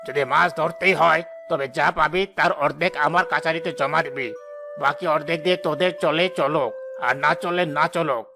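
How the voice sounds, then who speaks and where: A man's voice: fairly high pitch, steady tone, normal speed, vocal fry, music in the background. A man playing a cruel, arrogant village landlord in a cartoon, domineering and threatening as he gives commands. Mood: imperious. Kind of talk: dramatic cartoon voice acting.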